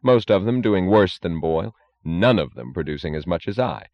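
A man voicing a calm, blunt industrialist who speaks in a cold, matter-of-fact, logical way. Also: low-pitched, normal speed, no echo, highs cut off. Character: calm, blunt, defiant male steel industrialist, coldly logical.

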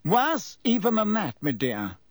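A pompous older male minister, as a man acts him out in a storybook. He is gruff, uneasy and patronising, with a husky edge and big, emphatic rises and falls. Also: high-pitched, wildly swooping pitch, measured pace, no room sound, voice pushed up, heavily acted. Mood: low, confiding.

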